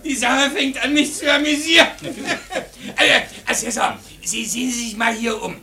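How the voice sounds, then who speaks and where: A man's voice: very high-pitched, normal speed, creaky, pushed and bright, recorded from a distance, exaggerated voice. An actor in a German radio play voicing a tipsy, boastful man, boisterous, stammering and chuckling. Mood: boastful, amused. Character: tipsy, boastful man showing off his tavern design.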